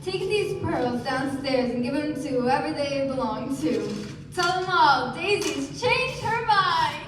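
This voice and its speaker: A woman's voice: high voice, animated, slow pace, background noise. A woman acting a languid, flighty young belle in a live radio play. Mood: drunk, giddy. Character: languid, flighty eighteen-year-old Southern belle. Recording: very echoey stage, distant mic.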